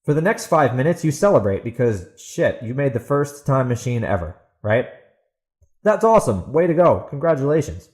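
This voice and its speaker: A man's voice: mid-range voice, very animated, normal speed, darkish tone. A man hosting a solo podcast, talking casually and emphatically with opinionated asides. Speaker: podcast host and author.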